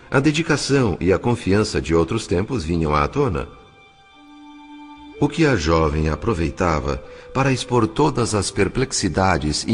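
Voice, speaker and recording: A man's voice: deep voice, breathy edge. A man with a Brazilian accent reading scripted dramatic narration as the narrator of a story. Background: background music.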